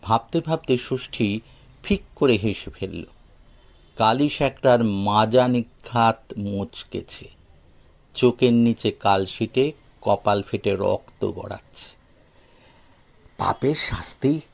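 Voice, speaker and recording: Slow, creaky-voiced male storyteller. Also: fairly low pitch, darkish tone. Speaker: audio story narrator. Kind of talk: expressive scripted story narration.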